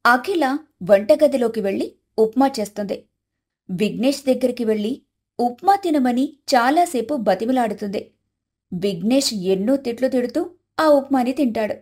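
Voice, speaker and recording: A woman reading scripted narration for an animated moral story. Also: fairly high pitch, moderate pace.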